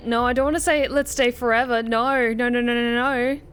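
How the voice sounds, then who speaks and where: A woman game streamer, reacting live and reading a visual novel aloud, expressive and emotionally invested. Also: a little high, fairly quick, clean tone.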